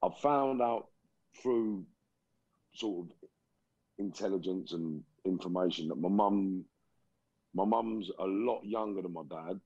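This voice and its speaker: Deep dark guy in his 40s, slow and thoughtful with lots of ums, dull remote-call sound. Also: slightly rough, no room sound. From England. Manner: slow, reflective, many pauses and ums. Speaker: guest. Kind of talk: life story interview.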